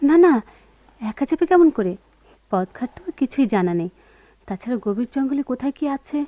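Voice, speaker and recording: A woman acting a fond, apologetic young woman in a radio play, coaxing softly, with sitar behind her on a lo-fi recording. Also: high voice, expressive, fairly quick, dry recording. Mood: concerned. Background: sitar music.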